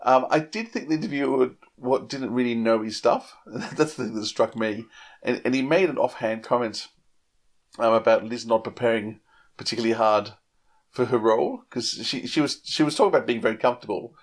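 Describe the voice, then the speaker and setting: Fast-talking, rambling male podcast panellist. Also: medium pitch. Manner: rambling, repeats words mid-sentence. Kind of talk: casual chat.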